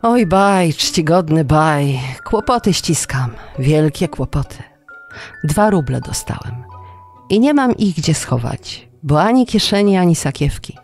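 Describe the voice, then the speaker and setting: A man's voice: fairly high pitch, big pitch swings, measured pace, soft background music. A storyteller voicing a wily, poor male trickster who pleads in a wheedling, mock-humble way.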